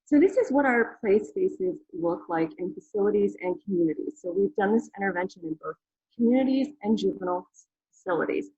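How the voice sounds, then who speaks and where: A woman's voice: mid-range voice, animated, a little fast, warm tone, breathy edge, no echo, muffled recording. A woman presenting a program on a video call, friendly and conversational. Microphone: video call mic.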